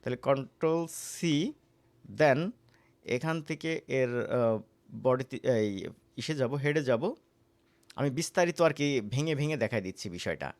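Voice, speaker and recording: A man's voice: medium pitch, expressive, unhurried, pauses often. Bangladeshi man teaching a casual tutorial. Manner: conversational, repeatedly checks with listeners. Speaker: animation course instructor. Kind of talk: casual screen-recorded software tutorial.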